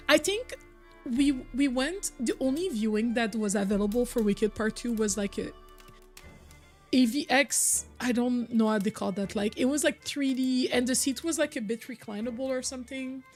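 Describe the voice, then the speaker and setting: High-voiced chatty woman streamer. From Quebec, originally Cameroon. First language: French. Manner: chatty, lots of "like" and repeats. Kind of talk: casual live-stream chat.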